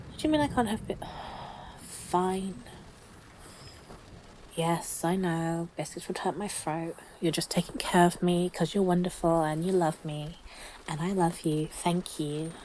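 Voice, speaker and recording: A woman's voice: on the low side, darkish tone, natural voice, poor audio. Woman doing sick lovesick girlfriend roleplay, flustered n gushy, takes her time talking, bit of background noise. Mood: tender, sheepish. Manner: flustered, gushing, halting, sighing and giggly. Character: lovestruck, grumpy-but-soft woman sick in bed, newly smitten. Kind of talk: intimate audio roleplay to a listener.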